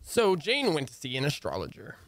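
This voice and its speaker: A man's voice: fairly high pitch, huge pitch swings, fairly quick, dry recording, soft background music. A man acting a long-suffering husband in an old-time radio comedy, wry and plaintive. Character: long-suffering, wry husband who narrates his wife's escapades.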